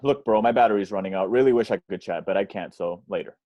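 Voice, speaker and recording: A man playing an irritable, brash movie actor on his cellphone in an amateur table reading, casual and halting. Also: lowish voice, highs cut off, a little hiss or hum, lightly acted. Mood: dismissive. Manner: casual, halting, swearing when annoyed.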